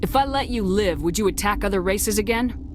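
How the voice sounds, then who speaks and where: A woman's voice: mid-range voice, a little fast, background noise, lightly acted. A woman playing a stern military commander in a video game, blunt and controlled. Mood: questioning. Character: female military commander, curt, stern, questioning. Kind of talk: video game character acting.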